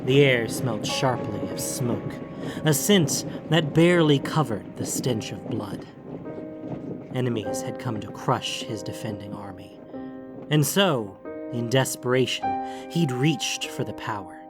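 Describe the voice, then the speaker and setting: Guy narrating a fantasy audiobook, mid-pitched voice, dramatic read, music under him. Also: unhurried.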